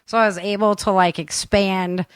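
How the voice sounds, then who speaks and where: A woman's voice: mid-range voice, steady tone, moderate pace, clean tone, no room sound. A woman with a mid-range voice chats casually as a show co-host. She is informal and enthusiastic, leaning on slang, and is recorded close and dry over an online call. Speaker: co-host and audio drama producer. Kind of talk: casual chat.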